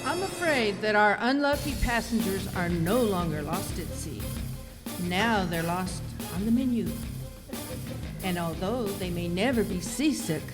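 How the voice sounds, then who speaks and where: A woman's voice: mid-range voice, lightly acted. A woman playing a macabre storyteller who hosts a spooky old-time radio show. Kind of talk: scripted old-time horror radio host. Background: prominent music.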